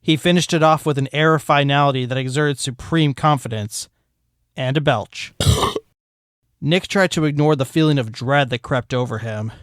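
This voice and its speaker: A man's voice: mid-range voice, normal speed, clear, resonant tone, no room sound. A man narrating a fanfiction story aloud with a dry, wry delivery.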